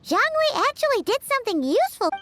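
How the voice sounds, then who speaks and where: A woman voicing a tiny, childlike fairy companion in a fantasy game, squeaky, blunt and exclamatory. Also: very animated, quick pace, a bit bright, slight background noise, exaggerated voice. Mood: amazed, teasing. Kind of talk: animated fantasy game voice acting. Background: light background music.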